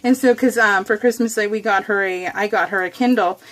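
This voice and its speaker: A woman's voice: medium pitch, a little fast, a bit bright, poor audio. An American woman chatting casually to viewers while crafting, in a bubbly, giggly, rambling, gushing way. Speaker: craft vlogger hosting a scrapbook process video.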